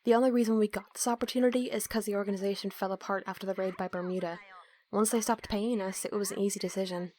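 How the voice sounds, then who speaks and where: Female actor as young student, snarky, put-upon, sarcastic banter, mid-pitched, audio drama. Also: steady tone, fast, warm tone, lightly acted. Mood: teasing. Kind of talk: audio drama roleplay dialogue.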